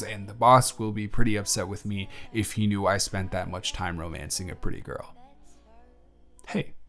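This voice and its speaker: A young man playing a friendly, smitten male barista in an intimate romantic audio roleplay, earnest, conversational and slightly flustered. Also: on the low side. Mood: playful, affectionate. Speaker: voice actor.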